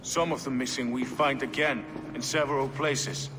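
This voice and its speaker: An actor playing a hard, cynical medieval warrior in a game cutscene, with a blunt, wry and sceptical way of talking. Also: male voice, mid-range voice, fairly even intonation, moderate pace, over music, lightly acted. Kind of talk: dramatic game cutscene acting. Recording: reverberant hall, faint ambient noise.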